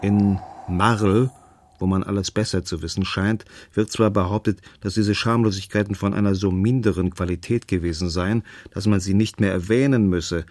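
A man reads scripted literary radio narration in a measured, precise, deadpan manner. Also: lowish voice. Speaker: reader and narrator.

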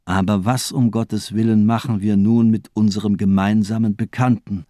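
A man's voice: on the low side, steady tone, moderate pace, mellow, no room sound, studio quality, close to an everyday voice. An actor playing a sinister, cultivated doctor in a radio crime drama, eloquent and mockingly polite as he toys with his captive. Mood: gloating, mocking.

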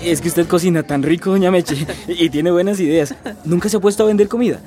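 An actor plays a humble, discouraged migrant man in a radio drama. His voice is soft-toned and mellow, a little high, with faint music behind. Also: fast, lightly acted. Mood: appreciative.

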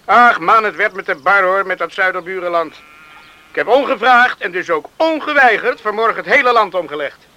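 A man's voice: high-pitched, lively intonation, a little fast, bright, forceful tone, exaggerated voice. A man acting an eager, plain-spoken farmer in a Dutch radio play, talking emphatically and repeating words. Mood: jubilant. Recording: noisy radio play recording.

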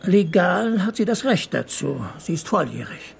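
A man's voice: high voice, very animated, measured pace, gravelly, slight background noise, full character voice. An actor playing a middle-aged, well-off, controlling father in a radio crime drama, formal and disapproving in manner. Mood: stiff, disapproving. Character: well-off, controlling bourgeois father hiring a detective.

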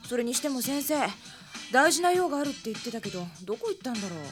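Mischievous young woman, high chirpy voice, very animated, anime radio drama, music bed. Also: fast. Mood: puzzled. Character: perky, mischievous young female assistant.

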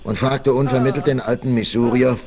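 A man's voice: medium pitch, fairly even intonation, brisk, vocal fry, crowd noise, lightly acted. A man giving scripted dramatic narration in the manner of a 1960s radio play.

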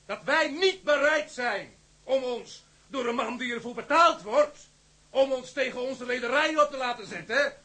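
An actor playing a plain-spoken, stubborn sailor taking charge of a crew meeting in a radio drama, blunt and colloquial. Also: male voice, very high-pitched, moderate pace, pushed and bright, full character voice. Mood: indignant, wry.

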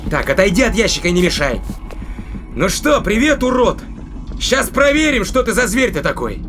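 An actor playing a cruel, obsessive spaceship captain in an audio drama, commanding and taunting. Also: male voice, high voice, very animated, normal speed, music in the background. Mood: menacing, taunting. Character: cruel, obsessive male spaceship captain tormenting a captive ape.

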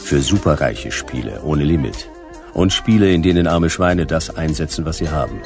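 A man's voice: a bass voice, moderate pace. A man playing a laconic, hard-boiled private detective in a noir radio play, dry, terse and wry. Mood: brusque, dry. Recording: music behind the voice.

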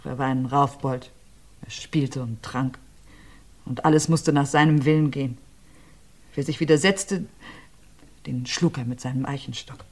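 An actress playing an abused widow being questioned about her husband's death, with hesitant, halting answers that often sink to a near whisper. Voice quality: low, subdued, often drops to a near whisper. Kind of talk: radio crime play.

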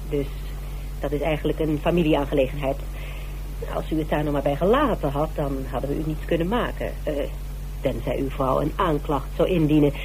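An actress playing a spirited, teasing private detective in a radio drama, speaking in an informal, pert way. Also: female voice, deep voice, darkish tone, close to an everyday voice. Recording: humming, noisy radio play recording.

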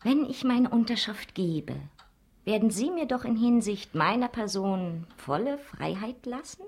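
An actress playing a proud, independent banker's daughter in a radio drama, self-assured and pointed. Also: female voice, fairly high pitch, animated, unhurried, a little mellow. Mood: calculating.

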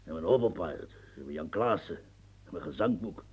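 An actor in a radio play as a grumbling old French marquis and former soldier, husky, stammering and repeating himself as he muses. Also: low-pitched, expressive, normal speed, a little mellow. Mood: wistful, musing. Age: older. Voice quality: gravelly, husky. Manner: stammering, repetitive, musing, earthy, prods with "huh". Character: rambling, grumbling old French marquis, retired military officer.

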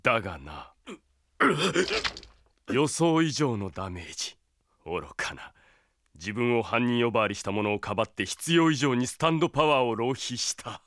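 An actor playing a cold, arrogant young villain in a Japanese anime audio drama, theatrical and condescending. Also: male voice, mid-range voice, expressive, normal speed, long pauses, fairly bright tone, no echo, lightly acted. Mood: gloating, sneering. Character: cold, arrogant young male villain serving an evil master. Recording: dramatic music behind the voice.

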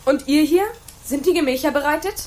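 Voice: high-pitched, a little fast, a little mellow, natural voice. An actor playing a cheeky young boy who puts on pompous, imperious airs in a radio play. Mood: playfully pompous. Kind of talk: German radio play acting.

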